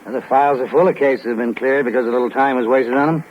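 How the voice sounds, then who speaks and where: A male actor plays a steady American police lieutenant in a 1950s radio drama. He is easygoing and laconic, pausing as he thinks. The sound is a dull old broadcast with light noise. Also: mid-range voice, natural voice. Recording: muffled, noisy old radio recording.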